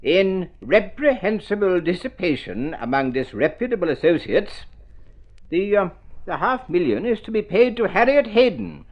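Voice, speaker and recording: Fussy formal lawyer in an old radio play, highish voice that swoops around, muffled recording. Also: male voice, very animated, normal speed, exaggerated voice. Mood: formal, measured. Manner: precise, formal, hesitant, exclaiming. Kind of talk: golden-age radio drama acting.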